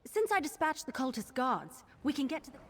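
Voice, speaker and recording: Excitable high-voiced young adventurer heroine. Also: female voice, fairly quick, slight background noise. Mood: urgent, resolute. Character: earnest, excitable young female archer adventurer. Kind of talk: video game voice acting.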